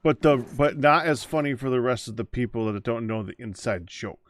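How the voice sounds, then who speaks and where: A man chatting with friends during a gaming livestream, quizzical and quick to question. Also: mid-range voice, dry recording. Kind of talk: casual gaming chat.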